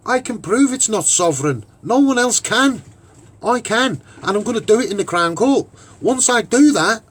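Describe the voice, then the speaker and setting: This is a man with a strong-minded, unscripted way of speaking in a Scouse accent. His voice sits high for a man and has a husky rasp. He rambles emphatically through his argument, repeating phrases to drive them home. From Liverpool, England. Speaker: online broadcaster and activist. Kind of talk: off-the-cuff opinionated monologue.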